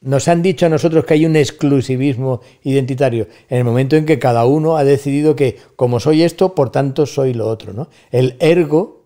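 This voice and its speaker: A man's voice: medium pitch, a little fast, few pauses, dark tone. A middle-aged man with an Andalusian accent, a guest talking at length in a casual podcast conversation, thoughtful and fond of rhetorical asides. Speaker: guest, university staff member.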